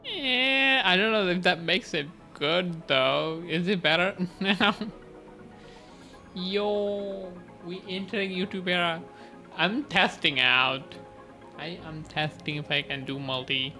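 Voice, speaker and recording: A male game streamer gives casual, musing commentary in a bright, higher-pitched voice. He talks slowly and informally, with music playing behind him. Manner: informal, musing reactions to the story.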